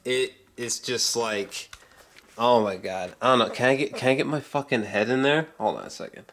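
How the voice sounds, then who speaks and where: A man giving a casual, unscripted vlog review as an excitable, sweary movie fan who rambles, repeats himself and trails off mid-thought. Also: mid-range voice, moderate pace. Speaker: amateur movie reviewer vlogger.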